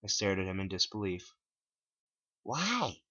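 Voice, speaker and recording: A man's voice: fairly low pitch, little pitch movement, normal speed, sharp tone, no room sound. A man reading his own first-person story aloud as a dramatic narration. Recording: dull lo-fi dry home recording.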